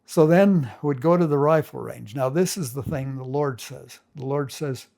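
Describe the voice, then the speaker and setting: A man's voice: medium pitch, normal speed, gravelly, warm tone. An older man casually reminiscing as a family storyteller, rambling with folksy grammar and many restarts.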